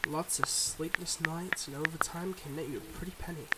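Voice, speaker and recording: Man acting a higher-voiced, smooth mob boss boyfriend. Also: fairly even intonation, normal speed, very noisy, lightly acted. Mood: charming, relaxed. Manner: smooth, confiding, teasing, speaking to a listener. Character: suave, secretive young male mob boss, devoted online boyfriend. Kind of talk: intimate ASMR boyfriend roleplay.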